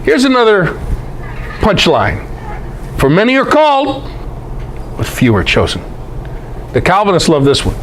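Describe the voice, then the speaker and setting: A man's voice: high-pitched, wildly swooping pitch, a little slow, pauses often, a bit bright, slight background noise, low-quality recording. A man giving an informal, irreverent scripture lecture in an emphatic way, often putting rhetorical questions to his listeners. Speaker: Bible teacher, presenter.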